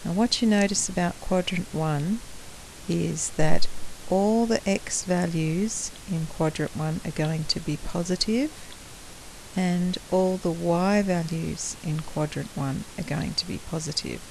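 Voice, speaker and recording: Woman, maths teacher, croaky, deep voice, patient explaining, laptop mic at home. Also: a little mellow, a little hiss or hum, low-quality recording. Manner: slow, patient explaining, with frequent clearing of the throat. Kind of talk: recorded maths lesson for students.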